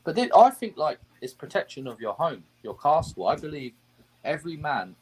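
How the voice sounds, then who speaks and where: A man's voice: a little high, very animated, moderate pace, slight background noise, rough recording. A man from England hosting a live stream, asking questions in a casual, curious chat.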